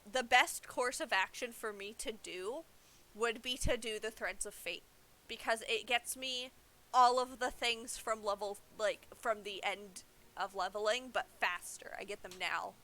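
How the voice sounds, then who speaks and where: Bright-voiced young woman streaming a game. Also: fairly high pitch. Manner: explanatory, informal. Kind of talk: casual livestream gameplay commentary.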